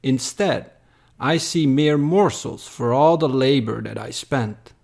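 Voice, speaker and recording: Man, mid-pitched, very slow pace, solemn, measured poetry narration. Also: gravelly, darkish tone. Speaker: narrator, poem reader. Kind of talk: scripted dramatic poetry narration.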